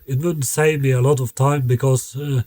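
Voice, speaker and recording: A man who designs loudspeakers explains his work in a halting, matter-of-fact way, stopping often to find his words. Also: medium pitch, fairly even intonation, no echo.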